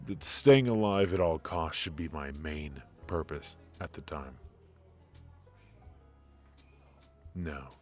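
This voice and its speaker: A man playing a suave, ruthless mafia boss in a noir audio roleplay, confiding in a measured, intimate, smug way with hesitant pauses. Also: very deep voice, darkish tone. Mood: reflective, subdued. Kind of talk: noir ASMR roleplay monologue.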